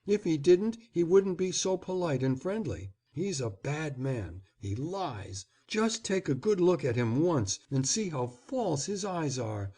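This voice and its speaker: A man's voice: very animated, unhurried, few pauses, mellow, breathy edge, heavily acted. A man reading aloud, voicing a defiant, resentful young boy who speaks coldly and insistently. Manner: cold and insistent, low intensity.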